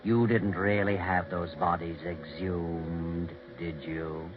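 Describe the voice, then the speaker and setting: An actor playing an ominous, knowing storyteller who narrates a 1940s radio mystery. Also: male voice, low-pitched, flat delivery, takes it slowly. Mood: sly, knowing. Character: ominous, knowing male storyteller of weird tales. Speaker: narrator host. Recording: dull 1940s radio recording with music.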